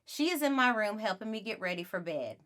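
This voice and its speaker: A woman narrating a children's book aloud in an expressive storytelling style. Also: mid-range voice, a little fast.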